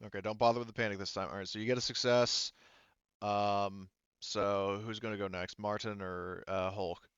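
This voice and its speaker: A man's voice: lowish voice, level tone, moderate pace, a bit bright, smooth, no room sound, dull audio. A man acting as game master, casually narrating a tabletop game in an informal, joking way with some swearing.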